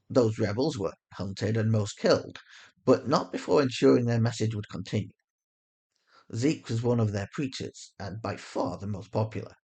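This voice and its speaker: Husky man narrating dramatic fiction. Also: mid-range voice, darkish tone. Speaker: story narrator.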